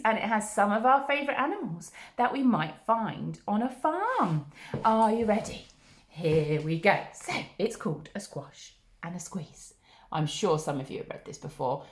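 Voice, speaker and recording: A woman reads a picture book to young children in a high, extremely animated voice. She takes her time and adds little asides to her listeners. The room around her is noticeably echoey. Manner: expressive, with gasps and asides to listeners. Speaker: children's story-time host.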